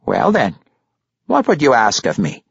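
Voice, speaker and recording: A man's voice: medium pitch, wildly swooping pitch, unhurried, gravelly, breathy edge, dry recording, dull audio, pitched above the performer's own voice, full character voice. A man voicing a jovial, shrewd older grandfather with courtly, theatrical, flowery speech in an audiobook story. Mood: bargaining.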